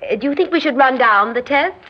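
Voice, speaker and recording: An actress playing a grandiose, scheming exiled society hostess in an old radio drama, theatrical and imperious. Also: female voice, high-pitched, animated, fast talker. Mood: poised. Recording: muffled old radio recording.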